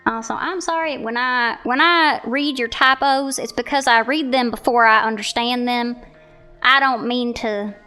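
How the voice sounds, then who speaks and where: A woman's voice: on the high side, a little slow, bright, forceful tone, smooth, faint music behind. An American woman streamer chatting casually and playfully with viewers, putting on a Southern US twang. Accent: American, playing up a Southern US twang. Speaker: streamer showcasing viewer art. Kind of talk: casual livestream chat with viewers.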